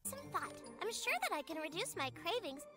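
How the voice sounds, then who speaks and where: Super squeaky tiny candy-crazed creature, voice bouncing all over, cartoon music behind. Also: female voice, very animated, brisk. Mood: giddy. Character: tiny sweet-toothed female magical creature. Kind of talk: cartoon voice acting.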